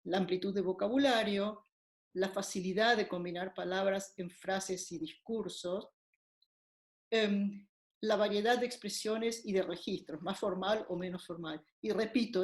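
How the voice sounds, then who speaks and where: A woman linguistics lecturer giving a recorded academic lecture, explaining ideas step by step with occasional fillers. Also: medium pitch. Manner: measured, explanatory, with frequent fillers. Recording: echoey room.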